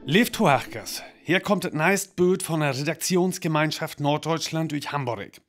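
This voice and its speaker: Actor as a higher-voiced North Frisian newsreader. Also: male voice, normal speed, fairly bright tone, soft background music, lightly acted. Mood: serious, reporting. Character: serious male radio newsreader reading bulletins. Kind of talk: radio play acting as news reading.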